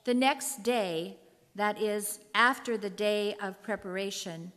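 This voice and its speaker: A woman's voice: medium pitch, slow, a bit bright. A woman narrating scripture solemnly from a script, in a large reverberant room. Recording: echoey hall with long reverb.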